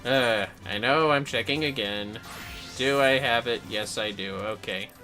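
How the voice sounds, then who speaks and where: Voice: mid-range voice, unhurried, sharp tone, faint music behind. A streamer with an androgynous voice chatting casually and playfully while playing a game, muttering to themselves and swearing freely. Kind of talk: casual livestream gaming commentary.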